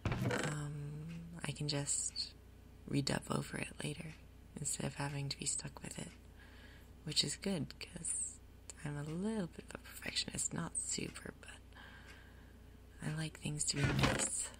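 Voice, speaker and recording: Female ASMR gaming host: hushed half-whisper, heavy vocal fry, breathy, relaxed pacing of murmured asides. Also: low-pitched, measured pace. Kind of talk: soft-spoken ASMR game commentary.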